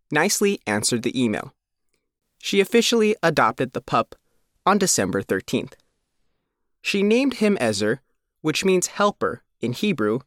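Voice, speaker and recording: A man reading a scripted news feature as its reporter, in measured, deliberate short phrases. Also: fairly high pitch, fairly bright tone, no room sound, clean studio sound. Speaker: reporter reading his own written feature.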